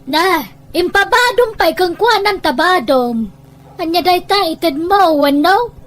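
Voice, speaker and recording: A woman plays a sharp-tongued, inquisitive village wife in a rural drama. Her voice is extremely high and unhurried as she nags and pries. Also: animated, full character voice. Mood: nagging. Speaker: voice actor in an Ilocano audio drama. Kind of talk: dramatic dialogue.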